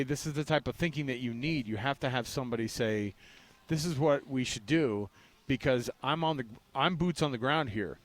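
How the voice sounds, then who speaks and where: Casual American male sports radio host. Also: medium pitch. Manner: informal, conversational. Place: outdoors at a ballpark.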